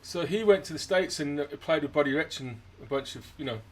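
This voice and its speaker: Wry man interviewer, slightly high voice. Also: lively intonation, fairly quick. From Scotland. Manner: dry, wry humour. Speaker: interviewer, jazz musician. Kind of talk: casual interview chat.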